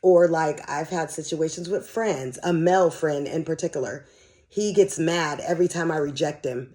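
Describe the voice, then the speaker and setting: Mellow, slightly raspy American woman podcaster. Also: low voice. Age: middle-aged. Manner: conversational, candid, slangy. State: slightly hoarse from cheering. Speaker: podcast host. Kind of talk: casual solo podcast chat.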